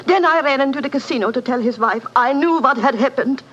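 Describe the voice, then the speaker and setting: An actress playing a grieving, bitter widow in a 1940s radio drama, intense. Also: female voice, high-pitched, brisk, a bit bright. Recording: noisy muffled vintage radio broadcast.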